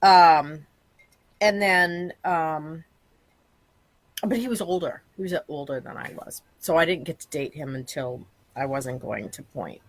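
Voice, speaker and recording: A woman's voice: deep voice, measured pace, no room sound. A woman, chatting bluntly and rambling as a guest in an interview. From Massachusetts, USA. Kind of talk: candid casual interview chat.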